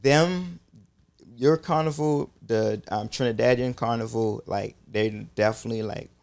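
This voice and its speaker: Gravelly young man in loose banter. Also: medium pitch, a little slow. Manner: animated, swears freely, lively quoted asides. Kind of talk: casual chat.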